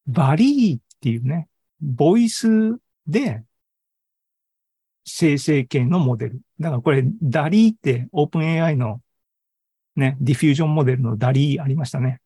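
Mellow, husky man presenting informally. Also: medium pitch, dry recording. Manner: conversational, with frequent tag particles and asides. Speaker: presenter at an AI hobby forum and podcast host. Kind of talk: informal live tech presentation over an online meeting. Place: online Zoom live session.

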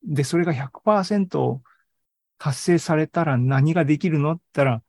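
A man presents an informal online tech talk in a mellow, relaxed voice. He thinks aloud in a conversational way and keeps tagging sentences for agreement. The sound is close and dry. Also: fairly high pitch, a little fast, no echo. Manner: conversational, seeks agreement with frequent sentence-end tags. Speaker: presenter of an online AI seminar and podcast. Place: indoors, live online video meeting.

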